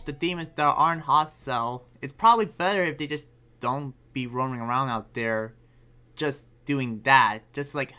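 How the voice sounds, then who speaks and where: A man's voice: on the high side, pushed and bright. Man playing earnest, troubled young-sounding slayer over music. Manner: hesitant, rambling explanations. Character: earnest, troubled demon slayer researching friendly demons. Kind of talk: improvised video game roleplay.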